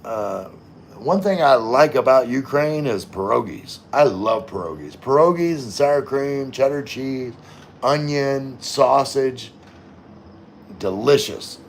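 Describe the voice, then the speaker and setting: Middle-aged man, unhurried pace, medium pitch, rambling casual livestream host, informal wording. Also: animated, slight background noise. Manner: rambling, informal, occasionally swearing. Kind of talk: casual livestream chat, reading and answering viewer comments.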